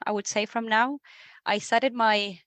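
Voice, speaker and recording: A woman in her thirties from Argentina with an Argentine Spanish accent, talking as a guest in a relaxed interview, repeating words and laughing easily. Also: on the high side, a little slow, no echo. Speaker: guest, HR leader. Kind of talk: conversational interview.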